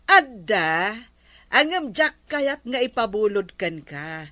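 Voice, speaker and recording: Barangay comedy drama: scolding, tight-fisted village woman played by an actress, sharp tone, deliberate pace, close dry sound. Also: on the high side, sing-song, no echo, heavily acted. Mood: firm refusal. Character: scolding village woman who refuses to lend her things. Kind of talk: Ilocano comedy drama dialogue.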